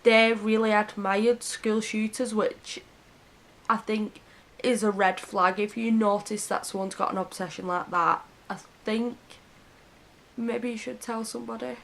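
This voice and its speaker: A woman tells a true crime story to camera in an earnest, heartfelt way. Her voice is hoarse and sniffly from a cold and sits at a middle pitch. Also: steady tone, measured pace, a bit bright.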